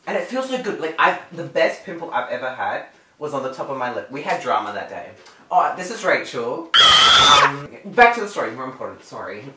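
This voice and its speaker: A young man talks through a casual bedroom chat, rambling and padding his sentences with "like." His voice swings widely in pitch. He sits away from the camera, so the sound is distant and roomy, with audible background noise. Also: very animated, brisk. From Australia. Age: young adult. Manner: rambling, lots of "like". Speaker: vlogger. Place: small bedroom at night. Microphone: far camera.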